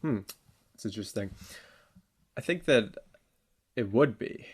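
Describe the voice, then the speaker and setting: A man co-hosting a podcast, discussing politics casually in a halting, informal way and often repeating words. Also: on the low side, expressive, slightly rough. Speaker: podcast co-host debating politics.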